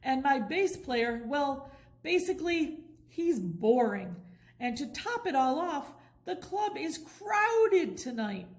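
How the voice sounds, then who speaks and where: A woman's voice: high voice, very animated, takes it slowly, voice pushed up, exaggerated voice. A woman voicing a furious, exasperated male jazz club manager complaining in a children's storybook.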